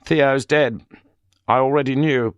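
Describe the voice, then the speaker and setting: Man playing a reserved flat-voiced scholar, raised pitch, dry close recording. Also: measured pace, clear, resonant tone, no room sound, lightly acted. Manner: flat, unemotional. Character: flat, reserved middle-aged male scholar.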